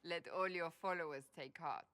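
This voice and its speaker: Stern devout female warrior, earnest, measured, low voice, video game dialogue. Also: a bit bright.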